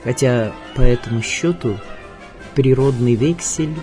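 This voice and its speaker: A man's voice: medium pitch, a little slow. Male documentary narrator over music. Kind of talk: scripted documentary narration of an audio biography.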